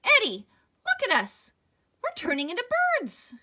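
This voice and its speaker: A shrieking, panicky man who is turning into a bird, as a woman puts him on while reading a storybook aloud. His voice is pushed very high and loud, bright and sharp, and his words come out slowly. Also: wildly swooping pitch, exaggerated voice. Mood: panicked.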